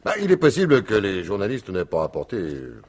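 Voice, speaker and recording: An actor playing a wily, courteous and evasive police commissioner in a French radio mystery in 1950s style. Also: male voice, low-pitched, huge pitch swings, fairly quick, slightly rough, heavily acted. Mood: evasive, soothing. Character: wily, placating male police commissioner, coolly scheming. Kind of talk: French radio drama acting in 1950s manner.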